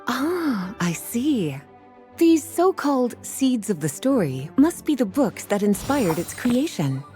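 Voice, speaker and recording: Game librarian mage, adult woman: velvety and mellow, very animated pitch swings, teasing, music behind. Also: slow. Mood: musing, intrigued. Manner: teasing, leisurely storytelling. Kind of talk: video game voice acting.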